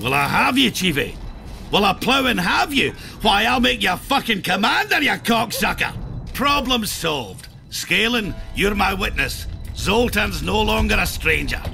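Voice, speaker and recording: An actor playing a blustery, foul-mouthed dwarf warrior in a video game, with a gruff and colourful delivery. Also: male voice, high voice, very animated, a little slow, pushed and bright, heavily acted. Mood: boisterous. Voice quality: gruff, gravelly. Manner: blunt, colourful, swearing. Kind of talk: video game cutscene acting. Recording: music behind the voice.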